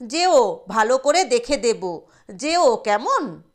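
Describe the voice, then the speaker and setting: A woman voicing a kindly lady doctor from the city who reassures and gently instructs villagers in an audio story. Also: a little high, big pitch swings, slow. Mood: reassuring.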